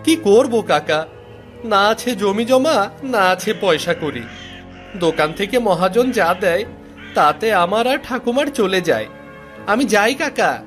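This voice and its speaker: A man's voice: sits high, animated, unhurried, long pauses, fairly bright tone. A man voicing a good-natured, humble young village shop boy in a cartoon, eager and affectionate. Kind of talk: cartoon voice acting. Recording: music under the voice.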